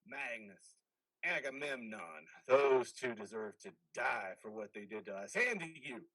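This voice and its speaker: A man's voice: mid-range voice, animated, unhurried, pushed and bright, no room sound, full character voice, rough recording. A man playing the stern ghost of a dead army officer in a dramatic play reading, domineering and manipulative. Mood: coaxing.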